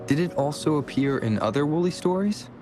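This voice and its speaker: A man playing a calm young adventurer companion in a video game. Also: fairly high pitch, a little fast, over music, natural voice. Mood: calm, curious.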